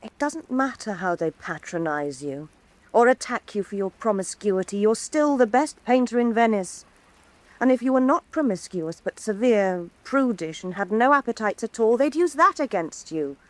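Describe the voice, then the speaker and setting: A woman's voice: mid-range voice, lively intonation, normal speed, no room sound, lightly acted. An actress playing an earnest young woman painter who speaks frankly and pleads with her mother in a stage radio drama. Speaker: painter, daughter.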